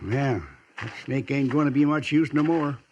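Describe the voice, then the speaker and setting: An actor playing a folksy, plainspoken old American frontier scout in a 1950s radio western. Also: male voice, medium pitch, steady tone, normal speed, darkish tone, lo-fi sound, natural voice. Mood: alert. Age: older. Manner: folksy, drawling frontier dialect. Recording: noisy vintage 1950s radio transcription.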